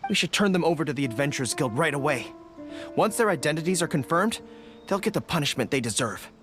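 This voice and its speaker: An earnest young military commander in an anime game, with a fairly high male voice. He speaks formally and resolutely, protective of those in his charge. Music plays behind him. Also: lively intonation, fast, fairly bright tone. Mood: stern, indignant. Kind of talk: English-dubbed anime video game acting.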